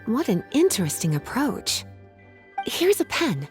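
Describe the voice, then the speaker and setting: A woman's voice: fairly high pitch, wildly swooping pitch, measured pace, faint music behind. An actress playing a poised, knowledgeable young woman librarian in a game, playful and articulate. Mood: thoughtful. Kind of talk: animated game character dialogue.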